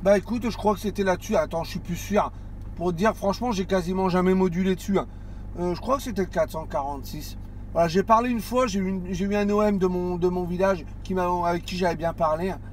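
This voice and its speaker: A man's voice: sits high, fast, a little hiss or hum. A man chatting on CB radio from a parked car, hesitant, with many restarts and fillers. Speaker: CB radio operator. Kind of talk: casual radio chat.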